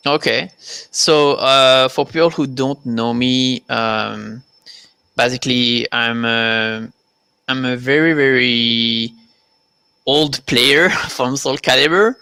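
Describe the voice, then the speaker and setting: Man with a bright French accent. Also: mid-range voice, gravelly, clear, resonant tone, dry recording. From France. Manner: halting, many fillers and repeated words. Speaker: guest, competitive fighting game player. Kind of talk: casual interview over a live video call.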